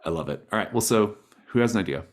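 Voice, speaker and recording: Deep-voiced man hosting a tabletop game. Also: lively intonation, quick pace. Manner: encouraging, accommodating. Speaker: game master, host. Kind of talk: casual explanation of a tabletop game. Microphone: online group call.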